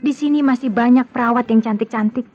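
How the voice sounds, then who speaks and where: A woman's voice: a little high, fast, natural voice. A woman acting a married doctor in an old-style radio drama, guarded and curt as she deflects. The sound is dull and lo-fi, with music playing behind her. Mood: dry, retorting.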